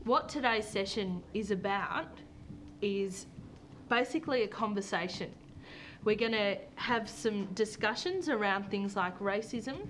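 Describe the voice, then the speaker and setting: A woman calmly introducing a discussion session to a group indoors. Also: medium pitch, measured pace, slight background noise. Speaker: workshop facilitator.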